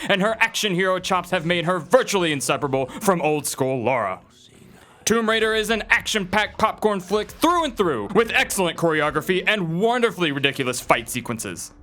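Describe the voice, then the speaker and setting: Male video-essay narrator, scripted entertainment read, high and bright, light music bed. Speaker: video narrator, film list presenter.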